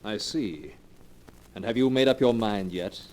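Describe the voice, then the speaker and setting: A man playing a suave, flattering playboy in a 1940s radio drama, full of romantic sweet talk, on an old recording. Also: lowish voice, unhurried, close to an everyday voice. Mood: breezy.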